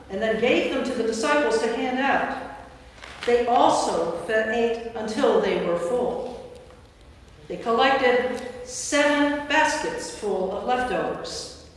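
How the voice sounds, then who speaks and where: A woman giving scripted narration for a staged scripture reading in a church. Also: a little high. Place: inside a large church. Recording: distant, very echoey church hall.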